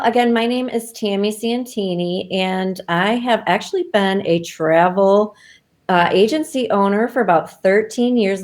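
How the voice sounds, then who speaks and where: A woman's voice: medium pitch, darkish tone. Casting: enthusiastic woman travel-agent guest on a video call, cheerful and giggly. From Michigan, USA. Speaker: travel agency owner, guest. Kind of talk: casual live video interview.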